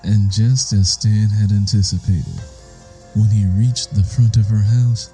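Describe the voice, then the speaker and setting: A man reading a suspense story as a narrator, in a deliberate, measured and ominous way. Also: on the low side, steady tone, mellow, soft background music. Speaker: audiobook narrator. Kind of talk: dramatic scripted suspense narration.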